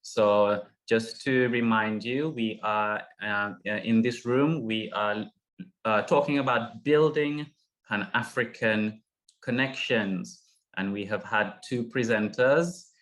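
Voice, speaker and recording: A middle-aged man from Uganda moderating an online panel, speaking haltingly with many fillers and restarts over a video call. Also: on the low side, fairly even intonation, a bit bright. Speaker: session moderator.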